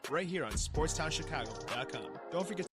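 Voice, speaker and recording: A quick-talking man reads a scripted show promo like a sports host. Loud music plays behind his voice. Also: on the high side, animated.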